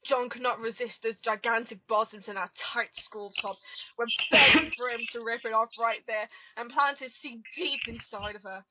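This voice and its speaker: A woman's voice: on the high side, fairly even intonation, normal speed. A woman narrating an amateur dramatic reading of comic fan fiction, on a muffled home recording.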